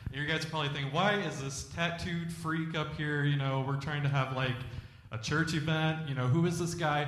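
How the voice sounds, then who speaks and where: A man's voice: a little high, fairly even intonation, brisk, a bit bright, a little hiss or hum. A man with a Michigan accent, a pastor giving a casual, joking, self-deprecating testimony to a church audience. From Michigan, USA. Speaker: pastor, guest speaker.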